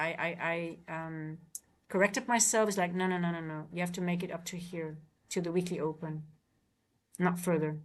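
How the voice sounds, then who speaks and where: A woman with a Dutch accent talking casually on a live trading stream, chatty and restless, often restarting her sentences. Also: on the low side. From Netherlands. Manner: chatty, repeats words, frequent restarts and fillers.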